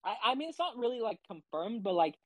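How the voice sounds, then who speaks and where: A young woman co-hosting a podcast, rambling casually in quick bursts full of fillers. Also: on the high side, sing-song, no echo. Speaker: podcast co-host. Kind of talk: casual chat.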